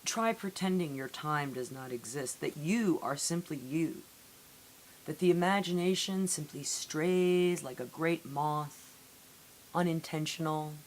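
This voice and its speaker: A woman reading poetry aloud in a solemn, deliberate way with measured phrasing and dramatic pauses, on an amateur recording. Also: sits low, low-quality recording. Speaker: poetry reader. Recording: amateur recording with audible hiss.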